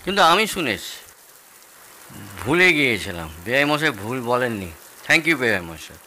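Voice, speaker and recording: A man's voice: medium pitch, sing-song, measured pace, sharp tone, a little hiss or hum, heavily acted. A man acting a boastful, food-loving middle-aged father-in-law with an East Bengali accent in an audio drama, theatrical and savouring. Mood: assured.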